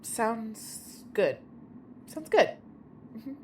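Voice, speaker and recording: A woman's voice: on the high side, sing-song, very slow, darkish tone, a little hiss or hum, heavily acted. An actress playing a troubled, secretive young woman, hesitant and emotional, in a naturalistic audio drama. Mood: nervous. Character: anguished, secretive young woman confronting a friend.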